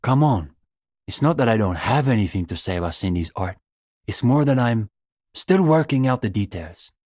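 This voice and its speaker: A young man voicing an earnest, bookish communist student in a video game, idealistic and a little condescending, speaking in measured, hesitant intellectual phrases. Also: on the low side, animated, darkish tone, no room sound, clean studio sound. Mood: hesitant, diplomatic. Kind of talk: video game character dialogue.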